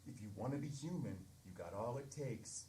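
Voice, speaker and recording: Woman improvising awkward older ghost lady, low voice, hesitant, gentle, echoey stage, distant mic. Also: expressive, normal speed, slight background noise. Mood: gently reassuring. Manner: hesitant, gently reassuring, bashful. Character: awkward ghost of an older female doll inventor. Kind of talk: improvised live comedy audio drama.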